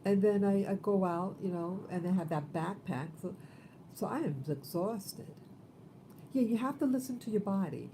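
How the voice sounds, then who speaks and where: A woman in her fifties hosting a casual livestream, talking in a rambling, halting way with many fillers. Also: mid-range voice, mellow, a little hiss or hum, low-quality recording. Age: 50s. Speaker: livestream host.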